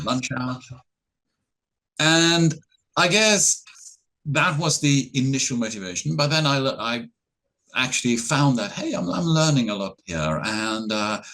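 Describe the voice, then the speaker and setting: A man's voice: medium pitch, a bit bright, rough recording. Middle-aged guy speaking english, lots of ums and uhs, easygoing and a bit self-mocking. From Norway. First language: Norwegian. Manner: hesitant, many ums and uhs, chuckles, self-deprecating. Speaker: interview guest. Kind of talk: relaxed conversational answers.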